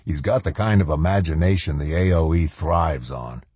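A man voicing a ruthless army colonel in an audiobook, measured, grim and authoritative. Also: extremely low pitch, animated, darkish tone, breathy edge, no echo, exaggerated voice. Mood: amused. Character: commanding male army colonel, ruthless, coolly self-assured fanatic.

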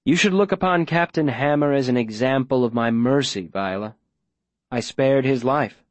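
Man playing a quiet, coldly menacing mayor. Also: mid-range voice, no echo, lightly acted. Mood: calm menace. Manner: measured, formal, falsely gentle and reasonable. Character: smooth-talking, coldly menacing male mayor interrogating a captive.

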